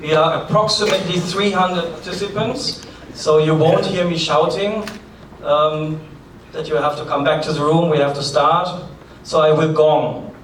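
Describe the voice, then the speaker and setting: A man's voice: on the high side, steady tone, normal speed. A man with a German accent opening a conference as its host, giving practical announcements in a chatty way with light asides. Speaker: forum host. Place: large conference hall. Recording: echoey hall, distant mic, faint noise.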